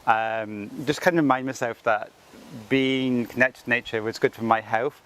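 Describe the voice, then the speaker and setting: Thoughtful Englishman, mid-pitched. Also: steady tone, normal speed, fairly bright tone, a little hiss or hum. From England. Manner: thoughtful, with many ums and likes. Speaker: interviewee. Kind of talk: reflective personal account.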